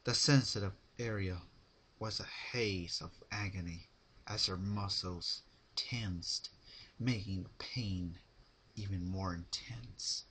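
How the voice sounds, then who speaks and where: A man narrating a story as a dramatic reading, in deliberate, halting phrases. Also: sits low, fairly bright tone, slightly rough, poor audio. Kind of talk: dramatic fan fiction reading.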